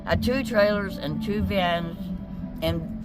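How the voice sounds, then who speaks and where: A woman's voice: on the low side, measured pace, over loud music. A woman in her seventies from Texas, telling her story in a casual street interview, rambling and plainspoken with many restarts. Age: 70s. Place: outdoors by a busy road. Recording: loud traffic noise outdoors.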